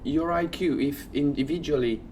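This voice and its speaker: A man co-hosting a casual podcast, speaking in loose, slightly halting phrases. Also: on the high side, a little mellow, slight background noise. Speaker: podcast co-host.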